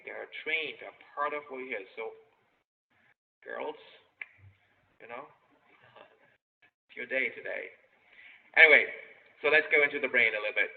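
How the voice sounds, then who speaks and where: German guy giving a brain science talk, lots of ums, jokey asides, middle pitch, sounds like it's coming through a phone. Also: creaky. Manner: joking asides, frequent ums and self-corrections. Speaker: symposium speaker on brain science. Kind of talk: educational talk to families. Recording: tinny phone-like lecture recording.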